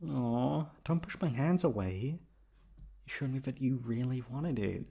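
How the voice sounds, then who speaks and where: Man playing a coaxing boyfriend, very expressive. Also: mid-range voice, a little slow, vocal fry, breathy edge. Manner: coaxing, playful, pleading. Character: teasing, pleading, affectionate young boyfriend. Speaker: voice actor performing an audio roleplay. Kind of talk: ASMR boyfriend roleplay, intimate acting.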